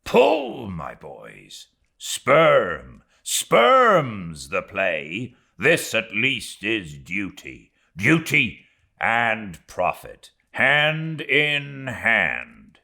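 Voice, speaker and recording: A man voicing a stern, earnest whaling first mate in an audiobook, dropping into urgent hushed asides to his crew. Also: on the low side, wildly swooping pitch, drawn-out words, gravelly, exaggerated voice. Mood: grave, urging. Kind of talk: audiobook character acting.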